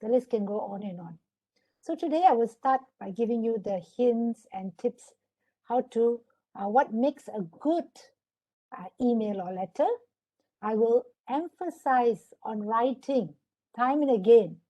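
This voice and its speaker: A woman's voice: a little high, animated, a little slow, warm tone, dull audio. A woman with a Malaysian English accent, giving an instructive webinar lecture as a trainer and often checking in with listeners. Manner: instructive, often asks for agreement.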